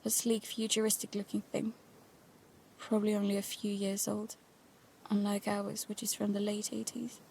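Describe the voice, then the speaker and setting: A woman's voice: medium pitch, level tone, normal speed, creaky, darkish tone, a little hiss or hum, natural voice, rough recording. A woman playing an anxious, stubborn teenage daughter in an audio drama, speaking in a tense, intimate way. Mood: uneasy, hushed. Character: anxious, stubborn teenage daughter narrating life aboard a boat. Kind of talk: audio drama acting with first-person narration.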